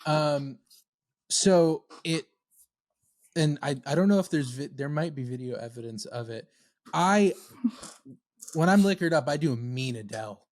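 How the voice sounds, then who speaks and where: A man's voice: on the high side, lively intonation, unhurried, pauses often, a little mellow, no echo. A man, a guest band member, telling rambling self-deprecating stories in a casual podcast chat.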